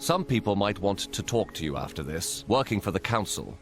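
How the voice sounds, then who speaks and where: Give me a low-voiced, steady officer. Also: male voice, a little fast. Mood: measured advice. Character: steady, courteous male officer serving a fantasy council. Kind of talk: video game cutscene acting. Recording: game music under the voice.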